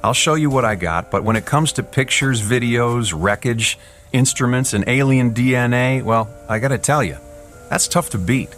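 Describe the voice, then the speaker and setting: A man's voice: mid-range voice, moderate pace, a bit bright, soft background music, natural voice. An actor playing a skeptical, easygoing male UFO investigator in a radio drama, speaking in a dry, teasing way. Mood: explanatory. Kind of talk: radio drama acting, conversational.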